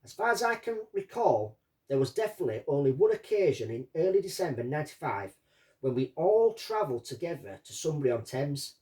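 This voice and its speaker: A man reading out a witness statement and commenting on it as a true-crime host, in deliberate short phrases. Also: on the high side, big pitch swings, darkish tone. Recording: distant from the microphone.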